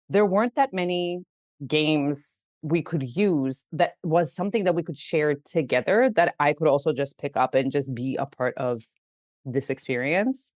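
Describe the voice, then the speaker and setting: A woman's voice: low voice, darkish tone, no room sound. Chatty woman from Greece, dry close mic. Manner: chatty, laughs easily, many "like"s and "you know"s. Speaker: game studio CEO, guest. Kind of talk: casual podcast interview.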